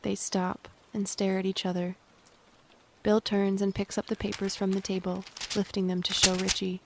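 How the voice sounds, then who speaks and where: Creaky, even-toned female narrator. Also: mid-range voice, moderate pace, slight background noise. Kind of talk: scripted narration of stage directions.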